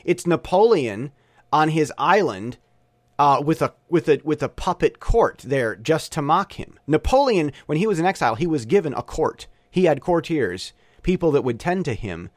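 A middle-aged man hosting a podcast, talking in a rambling, animated monologue full of restarts. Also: fairly high pitch, no echo. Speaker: podcast host. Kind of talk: casual monologue. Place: Taiwan.

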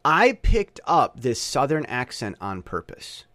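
A middle-aged man hosting a podcast, talking in a rambling, animated monologue full of restarts. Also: mid-range voice, slow, no room sound. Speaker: podcast host. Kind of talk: casual monologue. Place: Taiwan.

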